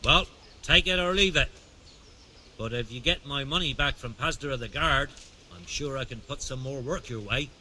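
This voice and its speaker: An actor playing a wily, aggrieved beggar and pickpocket in a video game, plaintive and streetwise. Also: male voice, mid-range voice, moderate pace, background noise, close to an everyday voice. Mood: indignant, wheedling. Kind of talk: video game dialogue acting.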